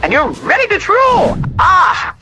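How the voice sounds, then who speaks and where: A man's voice: extremely high pitch, wildly swooping pitch, slow, bright, forceful tone, faint music behind, exaggerated voice. A man using an AI voice changer to voice a gleeful, devilishly polite radio demon host with showy, theatrical patter. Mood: gleeful showmanship. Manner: showy, theatrical announcer delivery. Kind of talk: old-time radio showman patter.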